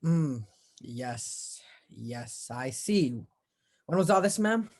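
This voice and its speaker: A woman playing a weary, placating police officer in a radio drama, husky-voiced, offhand and patronizing. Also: a bass voice, animated, very slow, darkish tone, heavily acted. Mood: bored. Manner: offhand, patronizing, brushing the caller off. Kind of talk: 1940s radio drama acting.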